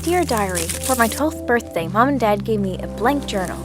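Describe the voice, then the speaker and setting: Woman playing an earnest, high-voiced young girl diarist. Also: lively intonation, moderate pace, natural voice. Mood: earnest, confiding. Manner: earnest, confiding. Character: earnest, insecure girl of twelve writing her diary. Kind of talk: radio drama diary narration. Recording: music under the voice.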